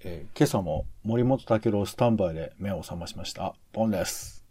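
A man's voice: fairly low pitch, brisk, warm tone, slightly rough, no room sound. A man co-hosting a podcast in casual chat, reacting to his partner and putting in questions. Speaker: podcast co-host.

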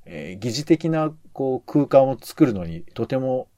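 A man's voice: mid-range voice, sing-song, measured pace, warm tone, dry recording, studio quality. A man co-hosting a podcast, giving his commentary in casual conversation. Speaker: podcast co-host.

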